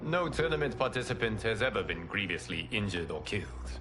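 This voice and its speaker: Solemn lord and tournament master for a fighting game. Formal, measured, authoritative, fairly deep, music underneath. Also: male voice, big pitch swings. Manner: formal, measured, commanding speech. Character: solemn, noble lord mentor presiding over a martial tournament. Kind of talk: video game cutscene acting. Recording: loud cinematic music behind.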